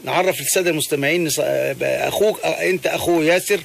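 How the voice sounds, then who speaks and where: A man hosting a radio show, interviewing callers by phone in quick, restless talk full of fillers and restarts. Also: on the high side, vocal fry, slight background noise. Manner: fast, animated, many fillers and restarts. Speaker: radio host.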